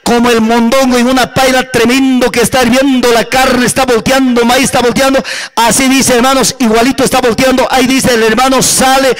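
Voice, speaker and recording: High-pitched man preaching with dramatic storytelling. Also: fairly bright tone, clear, resonant tone. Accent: Andean Spanish. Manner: dramatic, repetitive, with rhetorical questions to listeners. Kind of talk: fervent storytelling sermon to a congregation.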